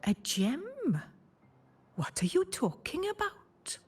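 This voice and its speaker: A woman's voice: very high voice, huge pitch swings, slow, darkish tone, heavily acted. A woman voicing a protective, no-nonsense townswoman in a video game. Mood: suspicious.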